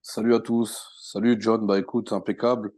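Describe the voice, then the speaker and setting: A man's voice: on the low side, level tone, moderate pace, darkish tone, no echo. A man giving casual football commentary as a guest pundit.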